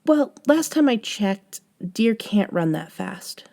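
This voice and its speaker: A woman performs a young woman coaching her friend in an intimate audio roleplay. Her voice is mellow and soft, and she takes her time, measured and patient with a bossy, gently chiding edge. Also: medium pitch, very animated, vocal fry, exaggerated voice. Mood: patient, teasing. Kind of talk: scripted roleplay audio, one-sided conversation.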